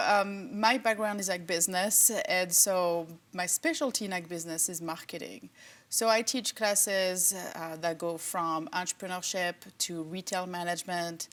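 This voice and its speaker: A middle-aged woman from France, a professor answering interview questions in a relaxed, thoughtful way with many fillers. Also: fairly low pitch, a little slow. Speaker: agriculture professor and department chair.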